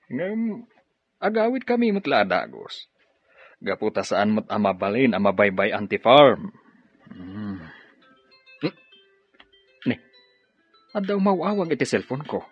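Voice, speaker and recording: A man acting a gentle middle-aged father and professor in Ilocano radio drama, with an Ilocano accent. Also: on the low side, big pitch swings, slow, pauses often, husky, lo-fi sound, soft background music, full character voice. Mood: busy, casual. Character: fatherly retired professor and farm owner, gentle.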